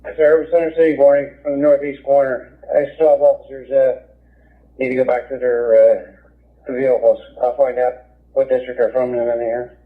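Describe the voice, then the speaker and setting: A man speaks as a police officer over the radio. His pitch is average for a man. The sound is tinny and band-limited, like a scanner feed. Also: level tone, brisk.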